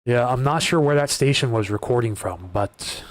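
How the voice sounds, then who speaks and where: A man hosting a live weather stream, talking through wind data and viewer questions in a casual, conversational way, often restarting his sentences, his voice starting to go hoarse. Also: medium pitch, moderate pace, no echo. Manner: conversational, repeats words and restarts while reading data. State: voice getting hoarse. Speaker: weather livestream host.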